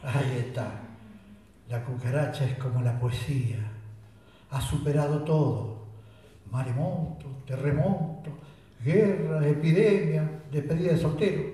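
A boastful country sergeant, rambling tall tales in a deadpan, mock-solemn voice, as a man acts him out in a comic radio play. His voice sits a little high and sounds far from the mic in a very echoey room. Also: measured pace, a little hiss or hum, close to an everyday voice. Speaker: radio drama actor.

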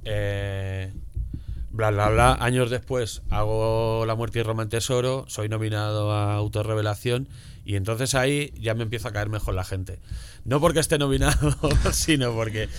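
A man's voice: on the low side, fairly even intonation, normal speed. A man speaking as an interview guest in casual chat, colloquial and often tagging statements with questions. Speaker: guest comics author being interviewed. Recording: clear background noise.

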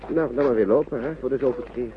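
An actor playing a courteous, resigned gentleman lawyer who shepherds children in a radio play, chatty and repeating himself. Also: mid-range voice, fast talker, narrow, tinny audio, lightly acted. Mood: coaxing, fussing. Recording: noisy old radio play recording.

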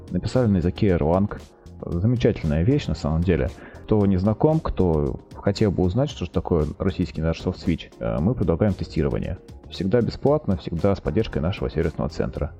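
A man with a low and very mellow voice speaks as a company guest on an informal interview show. He presents in businesslike, sales-like phrasing. Faint music sits underneath. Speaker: company representative, project manager, interview guest. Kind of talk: informal product presentation and answers.

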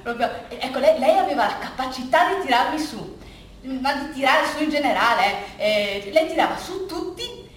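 A high-voiced woman looking back on her life in a stage monologue. She rambles in a halting, confiding, colloquial way, repeating herself as she goes. The sound is very echoey and far from the microphone, with faint noise. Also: lively intonation. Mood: fond, amused. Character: rambling, bittersweet woman reminiscing about her athletic youth. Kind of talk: theatrical monologue, conversational storytelling. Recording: echoey room, distant mic, faint noise.

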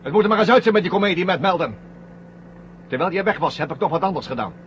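A coldly triumphant astronaut who has turned traitor, in a vintage science fiction radio play. His taunts are sarcastic and swing widely in pitch. The recording is old and muffled, with faint noise behind him. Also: lively intonation, fast talker, fairly bright tone. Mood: stern, accusing. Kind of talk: 1970 Dutch science fiction radio drama acting.

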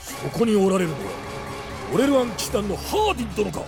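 A stalwart senior paladin in a fantasy audio drama. He projects in a very high, swinging voice over loud music, formal and commanding. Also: very animated, quick pace, vocal fry, exaggerated voice. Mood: formal, respectful. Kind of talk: Japanese fantasy drama CD acting.

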